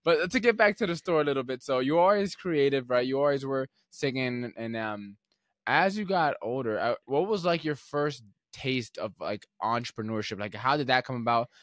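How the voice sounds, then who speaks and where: A young man hosting a podcast, telling stories in a relaxed, rambling way with lots of filler words. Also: medium pitch, normal speed, no echo, studio quality. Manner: relaxed, rambling storyteller with many fillers and restarts. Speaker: podcast host. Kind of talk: casual podcast conversation.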